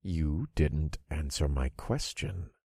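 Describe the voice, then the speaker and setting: A man voicing a cruel, gloating dark lord in an audiobook, commanding, taunting and full of contempt. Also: low-pitched, animated, unhurried, no room sound, studio quality, full character voice. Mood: gloating threat.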